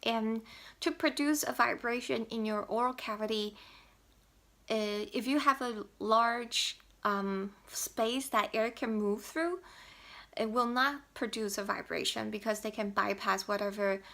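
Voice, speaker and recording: A woman's voice: a little high, a little slow, vocal fry, a bit bright. A young woman from Taiwan with a Mandarin accent, speaking English as she explains to camera how she learned a pronunciation, with many fillers and restarts. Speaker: language learner vlogger.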